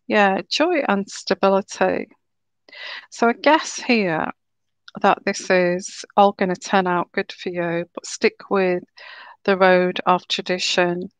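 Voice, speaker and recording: A woman giving a live tarot reading in a slow, soothing, reassuring way, her throat a little sore and croaky. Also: mid-range voice, no echo. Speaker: tarot reader.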